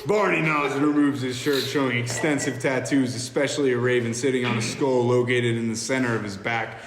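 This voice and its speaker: A man's voice: medium pitch, steady tone, fairly quick, a crowd in the background. Man reading stage directions in echoey room. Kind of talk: amateur scripted narration at a table read. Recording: echoey room, long reverb, background noise.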